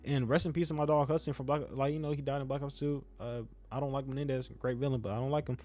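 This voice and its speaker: A man's voice: medium pitch, fairly even intonation, fast talker, darkish tone. A young man with a slight speech impediment, giving casual, opinionated game commentary in a rambling, slangy way, repeating phrases for emphasis. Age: young adult. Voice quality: self-described speech impediment. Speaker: YouTube gaming content creator.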